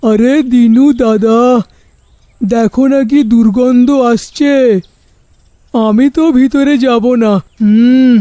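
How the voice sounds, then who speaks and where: A man's voice: very high voice, fairly even intonation, slow pace, long pauses, mellow, no echo. A man voicing a cowardly, jittery village man in a cartoon, drawling and prone to stammer. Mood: disgusted.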